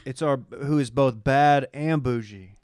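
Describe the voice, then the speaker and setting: A man's voice: medium pitch, measured pace, a little mellow, clear, resonant tone, no echo. A young man co-hosting a podcast in casual, slangy, joking conversation. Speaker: podcast co-host. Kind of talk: casual banter, reality TV recap.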